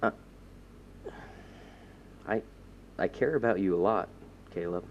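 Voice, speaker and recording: A man playing an earnest young self-styled superhero in an audio drama, talking informally and haltingly. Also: low voice, a little hiss or hum. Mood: awkward, tender. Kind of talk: naturalistic audio drama dialogue. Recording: slightly dull, muffled recording.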